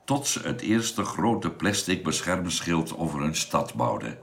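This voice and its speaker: A man playing a knowledgeable space crewman in a science fiction radio drama, speaking in a measured, explanatory way over background noise. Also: low-pitched, sing-song, vocal fry, full character voice.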